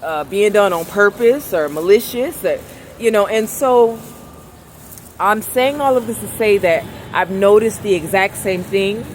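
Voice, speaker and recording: A woman with a velvety, raspy voice talks to her viewers in a loose, rambling monologue, pushing to be heard over the street. Her pitch sits mid-range for a woman, and faint city traffic hums behind her outdoors. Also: lively intonation, unhurried. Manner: soft-spoken, rambling, trying to talk louder. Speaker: vlogger. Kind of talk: casual monologue.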